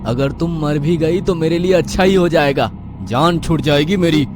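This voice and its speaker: An actor playing a cruel, domineering husband in a horror story, harsh, commanding and contemptuous. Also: male voice, on the high side, normal speed, natural voice. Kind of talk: Hindi horror story character acting. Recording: noisy, with car and rain ambience.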